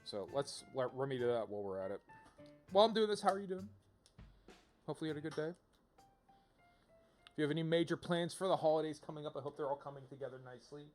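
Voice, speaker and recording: A man giving casual livestream commentary to viewers, thinking out loud in an informal, rambling way and often rephrasing himself. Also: a little high, expressive, quick pace, long pauses. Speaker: video game livestreamer, host. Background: music.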